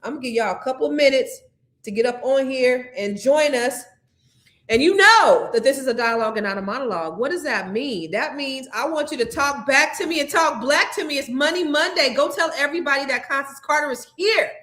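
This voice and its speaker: A woman's voice: high voice, fairly quick, roomy sound. A woman in her fifties hosting a live money podcast, chatting casually and talking straight to her audience with slang. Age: 50s. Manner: lively, slangy, addresses audience directly. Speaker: podcast host. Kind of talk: live stream casual chat.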